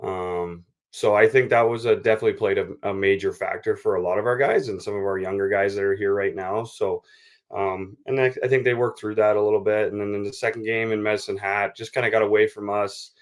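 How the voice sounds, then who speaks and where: A man talking as a baseball coach in an interview, explaining things in a measured, conversational way. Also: fairly low pitch, steady tone.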